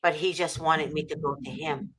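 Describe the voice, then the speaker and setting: A woman's voice: sits low, fairly even intonation, normal speed, a bit bright, rough recording. A middle-aged woman from Egypt speaking fervently about her faith, repeating phrases for emphasis. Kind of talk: impassioned faith talk.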